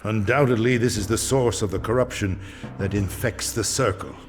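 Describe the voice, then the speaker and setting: An actor playing an ancient, weary vampire swordsmith in a video game, solemn and portentous in delivery. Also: male voice, fairly low pitch, normal speed, noisy background, natural voice. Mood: urgent, foreboding. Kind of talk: dramatic video game cutscene acting. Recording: music behind the voice.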